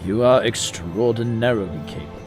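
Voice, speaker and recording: A man playing a courteous, tactful royal advisor in an audio drama, with formal and measured phrasing. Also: fairly low pitch, darkish tone, soft background music, lightly acted. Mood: reassuring.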